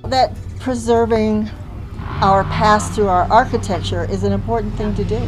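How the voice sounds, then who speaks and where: A woman giving unscripted interview remarks in appreciative, somewhat halting phrases. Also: medium pitch, noisy background.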